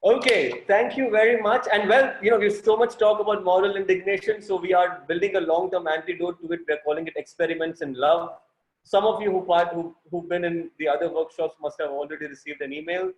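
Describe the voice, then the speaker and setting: A man runs an online workshop over a video call, and he keeps stopping and restarting his sentences with a joking tone. His voice sits a little high for a man. The sound carries a clear room echo. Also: highs cut off. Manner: halting, many restarts, joking. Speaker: workshop host.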